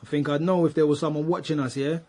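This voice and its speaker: An actor playing a swaggering eighteen-year-old suspect, slangy and cheeky, in a radio drama. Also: male voice, fairly high pitch, steady tone, fast, mellow, lightly acted. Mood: cocky, insolent. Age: young adult. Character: cocky eighteen-year-old male street youth, insolent murder suspect. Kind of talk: naturalistic radio drama acting.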